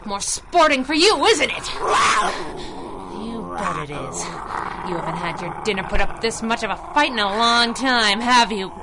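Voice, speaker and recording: A woman's voice: fairly high pitch, animated, moderate pace, close to an everyday voice. A woman playing a plucky, sassy young masked sidekick in radio adventure style, tossing out teasing quips, with noisy effects behind her. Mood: teasing, bravado.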